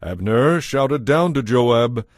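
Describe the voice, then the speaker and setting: A man's voice: mid-range voice, expressive, slow, no room sound, clean studio sound. A man narrating a dramatized audio Bible as a scripted storyteller.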